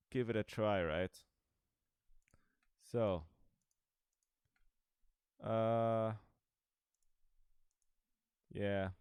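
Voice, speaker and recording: A man's voice: lowish voice, darkish tone, clean tone, no echo. A man giving casual, rambling commentary over a game he is playing, with dry, sarcastic asides. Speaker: gaming video creator.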